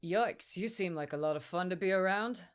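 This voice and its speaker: A woman's voice: on the low side, moderate pace, close to an everyday voice. A woman voicing a small, glib, talkative adult man with a slight accent in a storybook reading, breezy and wry. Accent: slight unplaced accent.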